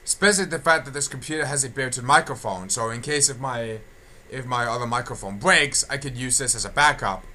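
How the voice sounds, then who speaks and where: A young man giving a casual audio update, halting, repetitive and complaining. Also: mid-range voice, pushed and bright. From England. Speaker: video creator giving channel updates. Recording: clear background noise.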